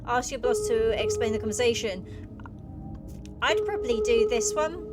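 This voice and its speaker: A woman hosts a gaming video in a fairly high voice. She comments casually on the game and reads its text aloud. Music plays clearly behind her. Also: sing-song, moderate pace.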